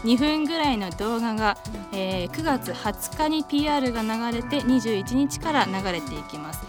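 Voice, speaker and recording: A woman hosting a radio show with casual chat and announcements, teasing and often correcting herself in an animated manner. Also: a little high, moderate pace. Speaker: radio show host, troupe actress. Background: background music.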